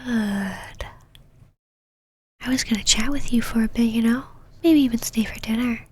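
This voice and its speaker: A woman's voice: on the high side, a little mellow. A woman whispering as a possessive, menacing lover. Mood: cold, threatening. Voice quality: breathy whisper. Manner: slow, taunting and controlling. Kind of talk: whispered audio roleplay.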